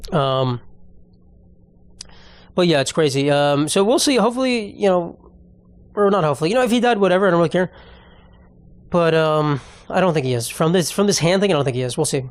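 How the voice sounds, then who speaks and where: A man's voice: fairly high pitch, expressive, brisk, pauses often, no room sound. A man hosting a podcast alone, rambling and ranting in a casual, profane way. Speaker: podcast host. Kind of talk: casual solo podcast monologue.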